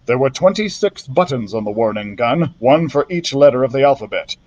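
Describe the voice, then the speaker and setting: A male librarian reading a children's adventure book aloud in a steady storytelling manner, with the odd slip. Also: mid-range voice, a little fast, muffled recording. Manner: steady storytelling narration with small slips.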